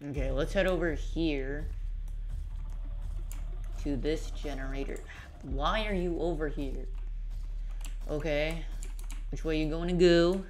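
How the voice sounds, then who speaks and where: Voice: mid-range voice, steady tone, takes it slowly, pauses often, slight background noise. A gaming video creator giving casual, offhand gameplay commentary, muttering along while playing. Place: indoors at a computer, keys typing.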